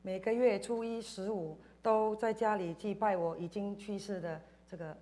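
A woman from Indonesia giving a personal church testimony in short phrases, pausing for an interpreter. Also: medium pitch, fairly even intonation, warm tone. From Indonesia, schooled in Singapore. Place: church service, speaking to congregation.

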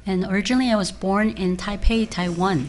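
A woman interpreting a church testimony sentence by sentence, in a plain and steady way. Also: mid-range voice, fairly quick. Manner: plain, steady rendering with fillers.

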